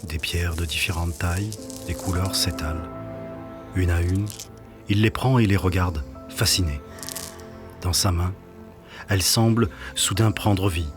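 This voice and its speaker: A man reading scripted narration for an audio drama. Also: low-pitched.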